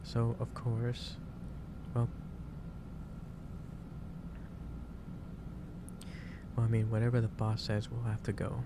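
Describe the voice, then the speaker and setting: A man playing a gentle, caring office boss in a soft-spoken ASMR roleplay, talking in a halting, reassuring way. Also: mid-range voice, completely flat delivery, lightly acted. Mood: sheepish, caring. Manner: halting, reassuring, unhurried delivery. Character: gentle, caring male office boss lecturing an overworked employee. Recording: clearly noisy background ambience.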